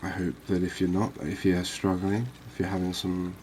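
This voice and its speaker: A man doing soft-spoken ASMR game commentary in an unhurried, calming, reassuring manner. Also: low voice, a little hiss or hum. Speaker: host of a cozy gaming channel.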